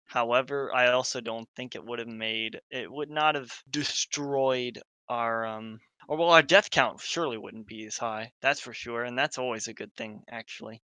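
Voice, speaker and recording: A young man with an American accent discusses things casually as a podcast co-host. His voice is crisp-edged and bright, sitting at an average pitch. The sound is close and dry, with no room around it. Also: steady tone, moderate pace, hardly any pauses, no echo. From United States. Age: young adult.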